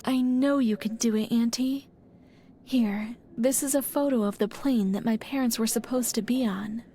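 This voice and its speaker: Mellow, higher-voiced supportive young woman by an actress. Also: steady tone, moderate pace, slight background noise, lightly acted. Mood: encouraging. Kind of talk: video game cutscene dialogue.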